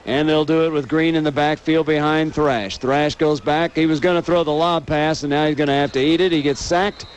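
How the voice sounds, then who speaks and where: High-voiced American man calling live football. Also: fairly even intonation, a little fast, few pauses, slight background noise. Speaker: radio play-by-play announcer.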